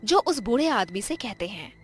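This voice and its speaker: A woman's voice: on the high side, very animated, normal speed, soft background music. A woman narrating a scripted children's horror story.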